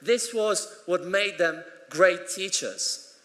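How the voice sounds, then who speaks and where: A man whose first language is Polish delivers a conference keynote in a high, bright voice. He is earnest and emphatic, with touches of dry humour. The sound carries a long echo from the hall. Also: takes it slowly. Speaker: conference plenary speaker. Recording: echoey hall.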